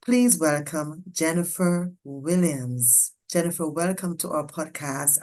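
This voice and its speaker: A woman's voice: deep voice, a little hiss or hum. A woman hosting a podcast interview, welcoming her guest in an effusive, formal way. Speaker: podcast host.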